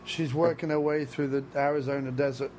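A man's voice: a little high, fairly even intonation, a little fast, a little mellow, a little hiss or hum. A man co-hosting a podcast, riffing crudely on a movie with lots of fillers. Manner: rambling, joking, many fillers. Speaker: podcast co-host. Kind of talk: crude comedic movie commentary.

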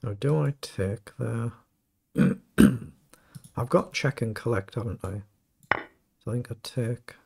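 Middle-aged guy, croaky gravelly voice, muttering his chess moves out loud, noisy room with mouse clicks. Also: medium pitch, slow, pauses often. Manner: thinking aloud, muttering over moves. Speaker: chess streamer. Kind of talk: live game commentary.

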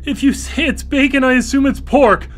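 A man's voice: very high-pitched, moderate pace, fairly bright tone, soft background music. A male actor in a radio play playing a dependent, easily rattled brother with a hesitant, emotional delivery. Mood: exasperated. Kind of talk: live radio play acting.

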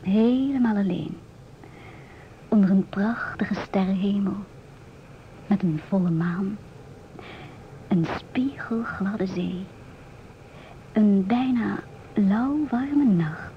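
Muffled old radio recording, young woman stewardess, musing, hushed, slow delivery. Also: mid-range voice, slight background noise. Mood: dreamy recollection. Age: 20s. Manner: hushed, musing, often near-whispered. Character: musing, independent 27-year-old divorced ship stewardess. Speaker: narrator and stewardess. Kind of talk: radio drama monologue.

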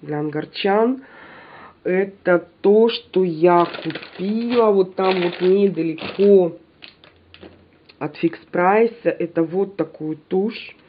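A woman's voice: on the low side, slow pace, highs cut off, slight background noise. A woman with a stuffy nose from a cold, chatting casually to her viewers as she shows off a shopping haul at home. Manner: chatty, animated, addresses viewers directly.

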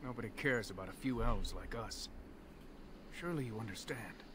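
A man's voice: fairly low pitch, normal speed, lightly acted. An actor playing a polite, humble elf father, a robbed refugee in a fantasy video game. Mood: pleading, downcast. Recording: outdoor ambience noise behind.

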